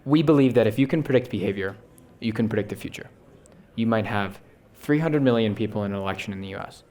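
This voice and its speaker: Young male founder, rapid enthusiastic pitch on a conference stage, keeps checking in with "right?". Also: darkish tone. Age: young adult. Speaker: startup founder on a panel. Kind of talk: fast, enthusiastic pitch talk. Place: conference stage before an audience.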